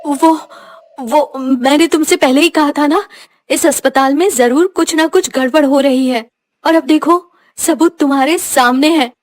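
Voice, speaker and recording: High-pitched, righteous young woman, acted by a woman. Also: a little slow. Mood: anxious. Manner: earnest, indignant. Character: frightened but righteous, defiant young woman.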